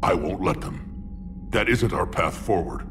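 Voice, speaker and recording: An actor playing a blunt, battle-hardened male alien warlord with a gravelly voice and a dry, sardonic manner. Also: sits low, measured pace, background noise. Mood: gruff. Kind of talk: video game voice acting.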